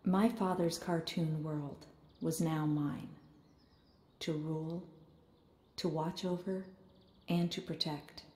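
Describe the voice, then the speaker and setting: An actress narrating as a calm, reflective young woman born of ink. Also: sits low, slow pace, pauses often, echoey room. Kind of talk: scripted narration.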